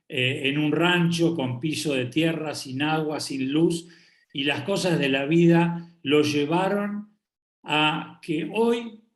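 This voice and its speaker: A man hosting a live presentation, introducing a guest and the film in a formal way. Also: a little high. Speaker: host. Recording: echoey room.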